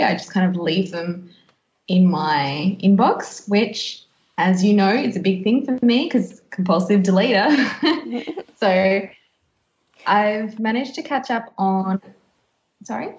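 A woman's voice: medium pitch, measured pace, darkish tone. A woman co-hosting a casual podcast, chatting in a playful, teasing way. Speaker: podcast host. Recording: dull, roomy, echoey sound.

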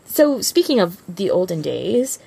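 A woman's voice: medium pitch, big pitch swings, unhurried, vocal fry, warm tone, breathy edge. A woman co-hosting a podcast, chatting casually and informally with gushing fan enthusiasm. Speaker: podcast co-host.

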